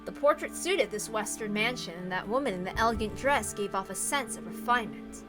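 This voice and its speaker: A woman reading a visual novel aloud as expressive scripted narration. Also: mid-range voice, a little fast. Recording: music playing behind the voice. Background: background music.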